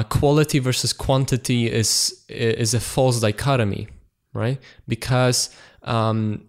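A man hosting a podcast, talking casually with many fillers and repeated words. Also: medium pitch. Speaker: podcast host. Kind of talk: casual chat.

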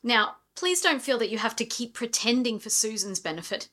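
A woman playing a practical, politely firm manager and mother in an old-time radio drama, businesslike and measured. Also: a little high, lively intonation, a bit bright.

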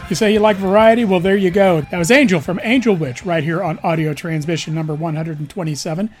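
Raspy man hosting a music show. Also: sits high, fairly even intonation, fast. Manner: rambling, self-deprecating, chatty. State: raspy from pollen. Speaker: radio show host. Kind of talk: casual music show talk.

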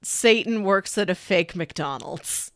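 A woman's voice: fairly low pitch, expressive, takes it slowly, no room sound, studio quality. A woman co-hosting a podcast, chatting casually and telling stories in a conversational way. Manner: chatty, quotes dialogue with frequent "like". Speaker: podcast co-host.

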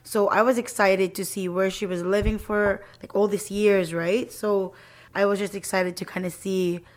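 A woman's voice: medium pitch, little pitch movement, moderate pace. Casual woman in her twenties, podcast guest. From Philippines. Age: 20s. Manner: informal, youthful slang. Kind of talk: casual chat, telling personal story.

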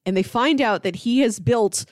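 A woman's voice: on the high side, normal speed, no room sound, clean studio sound. A woman co-hosting a podcast in casual discussion, explaining things enthusiastically with plenty of "like" in her phrasing. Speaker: podcast co-host. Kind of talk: casual comic book discussion.

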